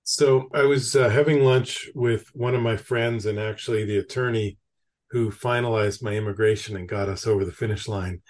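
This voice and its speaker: A man's voice: lowish voice, fairly even intonation, moderate pace, a little mellow. Chatty male podcast host from Canada. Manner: chatty, anecdotal, asks long questions. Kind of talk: podcast interview.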